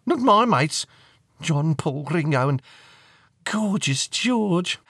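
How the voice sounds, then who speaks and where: A man voicing a cheeky, excitable fifteen-year-old girl in a storybook, full of teenage drama. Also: on the high side, takes it slowly, no room sound, studio quality, voice pushed up, full character voice. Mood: cheeky, dreamy.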